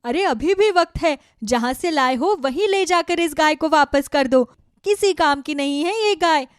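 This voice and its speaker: Scornful cartoon village gossip, acted by a woman: high voice, scolding, nosy, dry recording. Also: expressive, a little slow, no room sound. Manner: scolding, exclamatory.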